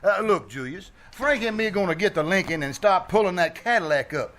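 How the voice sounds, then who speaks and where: A man acts out a cocky schemer in a vintage-style radio comedy. He is very high-pitched, slangy and bossy in a casual way. The live theater recording carries clear noise. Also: animated, fairly quick, a bit bright. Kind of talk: old-time radio comedy acting. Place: small-town theater stage, live performance.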